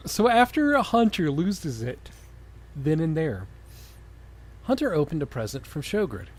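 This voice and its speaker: A man's voice: fairly high pitch, very animated, a little slow, breathy edge. A man co-hosting a comedy podcast, reading a story aloud and breaking off for jokey, casual comments. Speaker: podcast co-host reading fan fiction aloud. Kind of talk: comic dramatic reading with casual banter.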